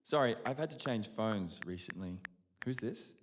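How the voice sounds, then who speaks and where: An actor playing a charming, polite and apologetic young suitor in a naturalistic audio drama. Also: male voice, fairly low pitch, animated, normal speed, lightly acted. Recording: clearly noisy background.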